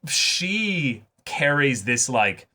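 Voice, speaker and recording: A man with a bright, forceful voice talks casually as a reaction show co-host. He is animated and rambles through his thoughts, often acting out what characters might say. The delivery is loose and conversational. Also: mid-range voice, very slow. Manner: animated, rambling, acts out imagined character lines. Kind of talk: casual reaction chat about an anime episode.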